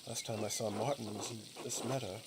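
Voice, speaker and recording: A man voicing a courteous, reserved male master artist from a game, polite and measured. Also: fairly low pitch, steady tone, heavy background noise, lightly acted. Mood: thoughtful. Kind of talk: reading game dialogue aloud.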